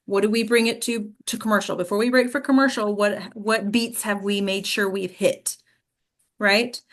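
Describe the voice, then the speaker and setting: A woman's voice: mid-range voice, steady tone, normal speed. A woman teaching a class as an acting coach, explaining informally and often checking in with the listeners. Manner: explanatory, checks in with "okay?" and "right?".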